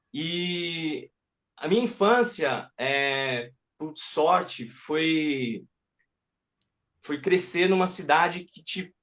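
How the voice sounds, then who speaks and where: A man's voice: fairly high pitch, fairly bright tone. Man in his thirties, hesitant rambling speech, video call interview. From Paraná, southern Brazil. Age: 30s. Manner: rambling, hesitant, many restarts and fillers. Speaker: guest, actor and puppeteer. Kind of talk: live-stream interview.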